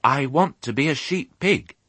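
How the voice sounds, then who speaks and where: Man as a polite, high-pitched young piglet. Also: lively intonation, measured pace, a bit bright, slightly rough, no echo. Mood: earnest. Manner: very courteous, earnest. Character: polite, earnest, eager young male piglet. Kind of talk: audiobook character voice.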